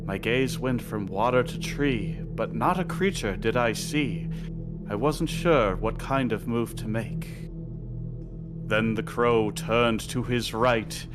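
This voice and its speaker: Slow-paced man reciting spooky verse over music. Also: medium pitch. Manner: measured, rhythmic storytelling. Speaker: poet reading own work. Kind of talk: dramatic spoken-word horror poem recitation. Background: music track.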